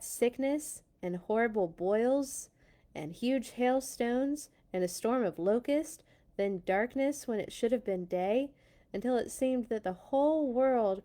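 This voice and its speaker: Slow, expressive woman reading to children. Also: fairly high pitch. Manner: dramatic, deliberate emphasis when reading. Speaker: children's read-along host and Bible school teacher. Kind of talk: expressive storybook reading for children with casual asides.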